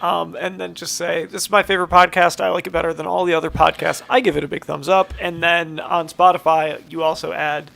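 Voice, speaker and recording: A man's voice: fairly high pitch, little pitch movement, fairly quick, vocal fry, few pauses, a bit bright. A man co-hosting a podcast in casual comedic banter, with an offhand, hesitant, joking way of talking. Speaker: podcast co-host.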